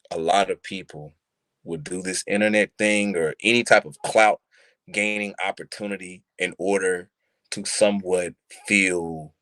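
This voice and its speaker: A man around thirty talking casually on a live-streamed show, animated, using street slang and checking in with listeners often. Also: low voice, no echo. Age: 30s. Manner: animated, street slang, repeats "you see what I'm saying". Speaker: podcast co-host. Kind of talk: casual chat. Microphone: live stream mic.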